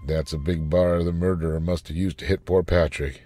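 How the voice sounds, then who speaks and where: A man's voice: deep voice, fairly even intonation, brisk, dark tone, no room sound. A man voicing a blunt, casual police detective in a story reading. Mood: matter-of-fact. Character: gruff, casual male police detectives talking shop.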